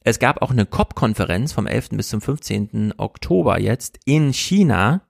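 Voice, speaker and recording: A man with a gravelly, creaky voice hosts a casual political livestream, opinionated and restless. The sound is dry and close, with no room around it. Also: lowish voice, very animated, normal speed, dry recording. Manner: opinionated, repeats "grotesk", restless. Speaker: livestream host.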